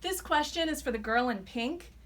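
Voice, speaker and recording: Woman acting an eager, higher-pitched fan questioner. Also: lively intonation, moderate pace, a bit bright. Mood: inquiring. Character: eager audience member questioning a fan panel. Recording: live event with background noise.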